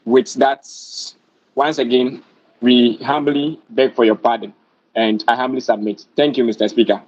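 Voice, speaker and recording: A young man with a Ghanaian accent gives a measured, deferential formal address over an online call. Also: mid-range voice, steady tone, slight background noise. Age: young adult. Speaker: committee member in a youth parliament. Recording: dull, lo-fi online call audio.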